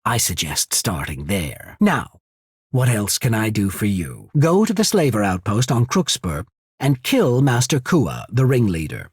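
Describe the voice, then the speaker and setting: An actor playing a cool, shrewd, courteous military official in a video game, speaking in a measured, formal, husky way. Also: male voice, lowish voice, wildly swooping pitch, no room sound, studio quality, heavily acted. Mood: businesslike, courteous. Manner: measured, formal, subtly calculating. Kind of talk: scripted game character dialogue.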